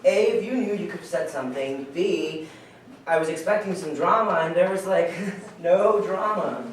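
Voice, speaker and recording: Young son male actor, candid and casual, lightly high voice, wry stage dialogue in a very reverberant hall. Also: moderate pace, close to an everyday voice. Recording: very echoey hall, distant mic, noisy.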